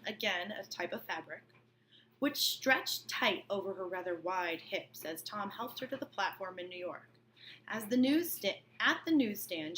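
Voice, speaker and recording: A female teacher reads a novel with a lively, swooping delivery and a bright tone. She drops in informal explanations as she goes, with clear room noise in the background. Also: medium pitch, low-quality recording. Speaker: teacher reading aloud. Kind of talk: expressive novel read-aloud with explanatory asides.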